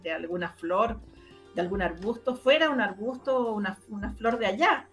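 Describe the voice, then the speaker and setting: A woman with a Chilean Spanish accent explaining her own work as an interview guest, in an animated, conversational way. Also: medium pitch, moderate pace, faint music behind. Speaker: interview guest, radio drama producer. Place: online video interview.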